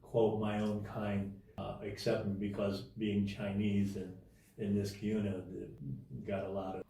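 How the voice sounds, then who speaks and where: A man telling his life story as an interview guest in a casual, halting way, with many restarts and fillers. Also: on the low side, level tone, vocal fry, mellow, very echoey, distant mic, poor audio.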